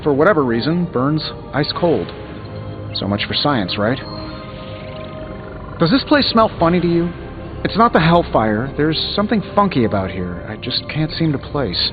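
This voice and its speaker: Guy doing a cocky american superhero inventor for a video game, swoopy sarcastic delivery, music playing loud behind him. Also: fairly high pitch, highs cut off, natural voice. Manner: glib, sarcastic quipping. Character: cocky, wisecracking male tech genius superhero inventor. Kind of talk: scripted video game voice acting.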